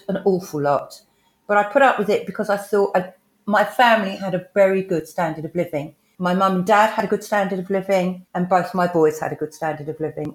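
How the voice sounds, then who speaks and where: An older woman tells her own story in a frank, straight-talking way. Her voice is croaky and sits fairly low. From England. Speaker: guest. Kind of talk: personal storytelling.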